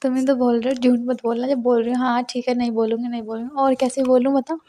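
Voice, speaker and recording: A woman's voice: fairly high pitch, flat delivery, fast talker, mellow. A young woman, chatting intimately and playfully on a casual phone call. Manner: playful, bantering.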